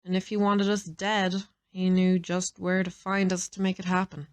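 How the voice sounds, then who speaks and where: A woman's voice: fairly low pitch, flat delivery, moderate pace, smooth, lightly acted. Brash, sardonic female assassin, played by a woman. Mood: grave, explaining. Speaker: voice actor in an audio roleplay. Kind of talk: dramatic audio drama acting.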